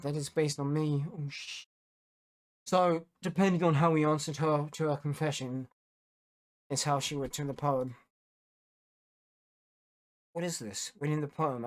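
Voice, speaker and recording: A gamer doing let's play commentary and reading game text aloud, in a casual way with plenty of swearing. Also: mid-range voice. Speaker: gaming YouTuber. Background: video game music.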